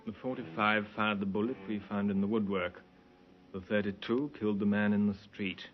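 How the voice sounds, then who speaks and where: An actor playing a matter-of-fact police sergeant in a radio drama, clipped and factual. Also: male voice, lowish voice, steady tone, normal speed, faint music behind, lightly acted. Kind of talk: 1950s radio drama acting. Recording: muffled 1950s radio recording.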